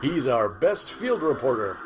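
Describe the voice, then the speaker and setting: A man's voice: medium pitch, sing-song, a little slow, soft background music. A man playing a clueless, pushy field reporter in a comic sci-fi audio drama. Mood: signing off. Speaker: news reporter interviewer.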